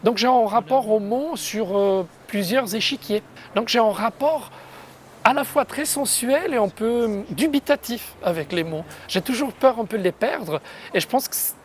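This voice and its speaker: Soft-spoken woman. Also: fairly high pitch, animated, normal speed, a little hiss or hum. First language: Italian. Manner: thoughtful, searching for words, soft hesitations. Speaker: poet. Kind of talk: interview, reflective explanation.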